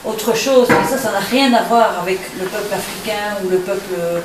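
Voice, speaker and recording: A woman's voice: medium pitch, normal speed. Emphatic middle-aged woman in an echoey room. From Belgium. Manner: emphatic, lecturing, insistent. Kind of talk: public debate contribution. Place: public meeting hall. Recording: echoey room, distant mic, background noise.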